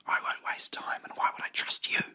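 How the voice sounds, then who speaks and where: A man's voice: a little fast, pushed and bright. Man voicing a stubborn whispering girl. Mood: defiant. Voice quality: breathy whisper. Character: distrustful, stubborn girl stowaway in an adventure story.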